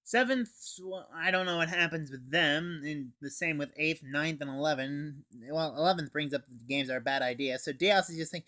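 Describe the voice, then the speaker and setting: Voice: medium pitch, moderate pace, few pauses, pushed and bright. A teenage reviewer recapping a story in a casual, scripted style. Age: teen. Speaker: anime reviewer.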